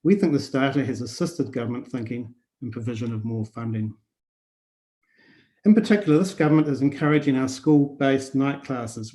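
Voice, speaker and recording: New man, mid-pitched, measured presenter on a video call. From New Zealand. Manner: steady, measured delivery with listed points. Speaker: conference speaker. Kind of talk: prepared conference talk.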